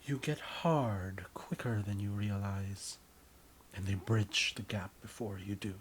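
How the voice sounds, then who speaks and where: A man narrating a scripted story in the second person, deliberate and often dropping softer at the ends of phrases. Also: on the low side, a little hiss or hum. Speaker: writer and narrator.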